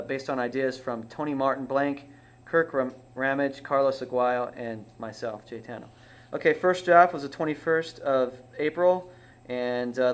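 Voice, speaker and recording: A man reads stage directions from a script at a table read. His mid-range voice is plain and unpolished, and he corrects himself as he goes. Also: medium pitch. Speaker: writer reading stage directions. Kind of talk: screenplay table read narration. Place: small dim indoor room.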